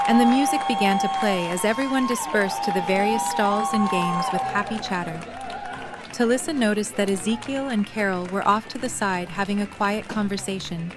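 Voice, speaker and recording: A woman narrating a scripted story for an audio drama. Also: medium pitch.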